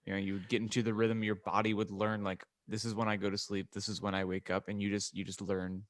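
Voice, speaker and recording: A man's voice: on the low side, level tone, fast talker. A man co-hosting a casual podcast, talking in a rambling, conversational way. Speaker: podcast co-host.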